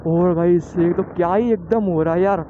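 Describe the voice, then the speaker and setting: A young man from Gujarat chatting excitedly to viewers while riding a motorbike, recorded on a helmet mic. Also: sits high, normal speed. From Gujarat, India. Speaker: motovlogger. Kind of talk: casual motovlog narration while riding. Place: outdoors on a moving motorbike. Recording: muffled lo-fi audio with wind noise.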